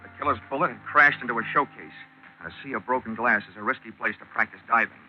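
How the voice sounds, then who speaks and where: An actor playing a wisecracking, hardboiled male investigator in a 1940s radio drama, with a wry, deadpan, tough manner. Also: medium pitch, quick pace, soft background music, close to an everyday voice. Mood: wry narrating. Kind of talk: 1940s radio detective narration and acting. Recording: dull old 1940s radio recording.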